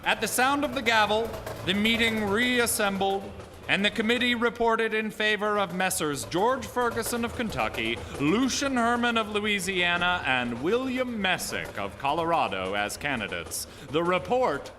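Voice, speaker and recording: A man acting as a grave storyteller, narrating theatrically in a live radio drama with measured delivery. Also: high voice, animated. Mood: brisk, wry. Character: grave male storyteller recounting a snowbound train disaster. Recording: live theater hall, long reverb, music.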